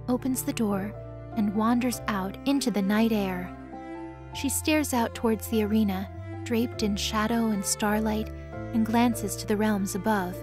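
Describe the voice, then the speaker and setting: Casting: female fantasy narrator, higher-pitched, measured storytelling read over faint music.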